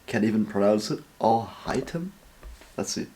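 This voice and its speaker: A man's voice: lowish voice, lively intonation, moderate pace, warm tone. A man hosting a reaction video, casual, blunt and chatty, with some swearing. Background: game music.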